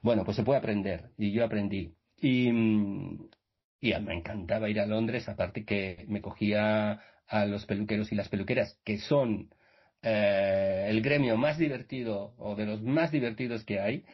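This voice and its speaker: Brief: male guest, husky voice, meandering anecdotes, muffled call audio. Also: lowish voice, unhurried. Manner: rambling with many restarts and fillers. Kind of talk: casual storytelling in a radio interview.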